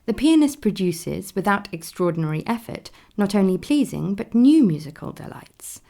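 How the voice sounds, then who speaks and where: A woman playing a Victorian newspaper critic, reading an admiring review in a formal, deliberate manner for a radio play. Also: mid-range voice, big pitch swings, gravelly, mellow.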